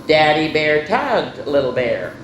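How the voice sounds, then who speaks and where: Expressive woman hosting story time, echoey room. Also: low-pitched, slow pace. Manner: expressive, addressing young children directly. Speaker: children's story time host. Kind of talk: picture-book read-aloud with casual asides. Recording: roomy echo, faint background noise.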